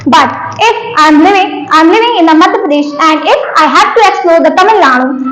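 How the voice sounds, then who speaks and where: Young indian woman, very high voice, hesitant speech, echoey room. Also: fairly bright tone. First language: Hindi. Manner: hesitant, many fillers and restarts. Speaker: student. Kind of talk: prepared classroom speech.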